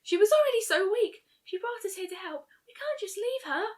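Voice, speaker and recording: A woman puts on a shy young female pony, very high and squeaky. She is gentle and caring, with a hesitant, pleading cartoon delivery that swings widely in pitch. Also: expressive, brisk. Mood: alarmed, pleading. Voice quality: squeaky, breathy-soft and high.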